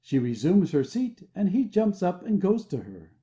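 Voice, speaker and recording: A man's voice: high voice, huge pitch swings, moderate pace, warm tone, highs cut off. A man reading stage directions as scripted narration for an audio play.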